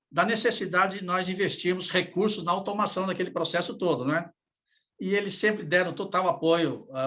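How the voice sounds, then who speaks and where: A man, general manager of an association, recalling past work challenges in a podcast chat, halting and repeating words as he thinks. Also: fairly high pitch, a bit bright.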